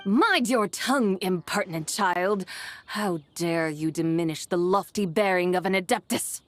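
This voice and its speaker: A woman's voice: lowish voice, a little slow, faint music behind, lightly acted. A woman playing a proud, stern female immortal who lectures in lofty, archaic speech in a video game. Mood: indignant, imperious. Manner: haughty, archaic, lecturing diction. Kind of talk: game voice acting.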